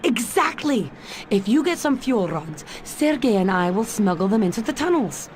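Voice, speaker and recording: A woman's voice: fairly high pitch, sing-song, normal speed, a little mellow, a little hiss or hum. A woman voicing a capable Russian secret agent in a video game, with a Russian accent and a composed, teasing manner. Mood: briefing. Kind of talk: video game cutscene acting.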